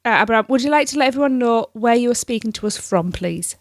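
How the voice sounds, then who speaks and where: A woman's voice: on the high side, animated, fast talker, dry recording, clean studio sound. A woman co-hosting a podcast, upbeat and giggly as she chats and asks questions. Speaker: podcast co-host. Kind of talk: casual podcast chat.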